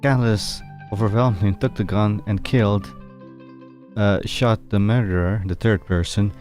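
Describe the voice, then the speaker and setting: A man giving casual, halting, wry commentary as he plays a video game. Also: lowish voice, steady tone, darkish tone. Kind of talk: casual game commentary, sometimes reading dialogue aloud. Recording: game music behind the voice. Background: game music.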